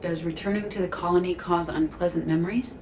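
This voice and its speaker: A woman voicing a calm, analytical artificial intelligence in a video game, speaking formally and precisely. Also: on the low side, fast talker, a little hiss or hum, lightly acted. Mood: detached.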